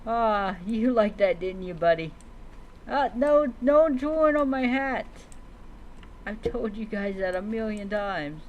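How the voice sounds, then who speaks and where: A woman streamer role-playing in character as a dragon rider in a game, speaking haltingly and repeating words. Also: mid-range voice, expressive, lo-fi sound, a little hiss or hum. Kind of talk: in-character game roleplay storytelling, talking to pet creatures.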